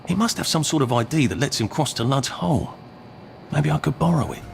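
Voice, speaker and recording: A man's voice: fairly high pitch, big pitch swings, a little fast, gravelly, slight background noise, exaggerated voice. A man with a British accent playing a polite, inquisitive, fretful everyman in a video game, wry and muttering. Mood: puzzled. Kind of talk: video game character acting.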